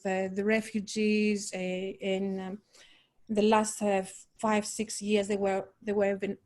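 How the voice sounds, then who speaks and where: A woman from Greece with a Greek accent, a poet talking in a halting, thoughtful way over a video call, often searching for words. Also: medium pitch, a little mellow. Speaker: poet, festival guest. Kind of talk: casual conversation.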